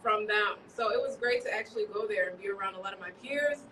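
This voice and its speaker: A woman in her thirties from Chicago, a journalist chatting in a relaxed, rambling way and laughing often during an interview. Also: mid-range voice, quick pace, far from the mic, a little hiss or hum, rough recording. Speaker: journalist guest. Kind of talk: casual interview chat. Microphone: livestream from a distance.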